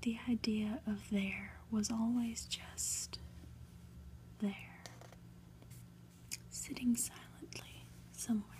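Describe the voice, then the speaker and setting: Slow whispering woman reading a story. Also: on the high side, poor audio. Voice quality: soft, breathy whisper. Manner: slow, hushed, deliberate delivery with pauses. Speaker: host reading a book aloud.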